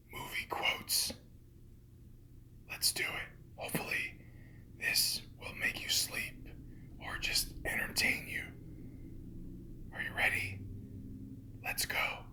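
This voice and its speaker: A host whispering a comedic parody of ASMR in a sarcastic, irreverent way, swearing freely. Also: sharp tone. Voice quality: whispered throughout.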